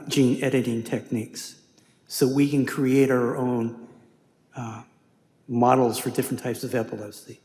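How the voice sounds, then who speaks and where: A male physician on a conference panel speaks at a middle pitch and explains things in a measured way. His voice carries a roomy echo with a long hall reverb. The manner is steady and explanatory. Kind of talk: expert panel answer.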